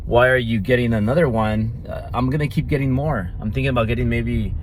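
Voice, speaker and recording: A man's voice: medium pitch, a little fast, a little hiss or hum, poor audio. A man in his thirties with a Mexican Spanish accent, a native Spanish speaker, talking in a brash, swaggering vlog, swearing and repeating himself. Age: 30s. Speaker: vlogger and entrepreneur. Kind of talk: casual boastful vlog.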